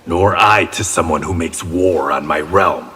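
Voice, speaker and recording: Stern defender warrior, lowish, rough and raspy, forceful, game banter, hall reverb. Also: male voice, a little slow, exaggerated voice. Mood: grim contempt. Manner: blunt, forceful, contemptuous. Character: stern, hostile male warrior defending his realm. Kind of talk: video game combat banter.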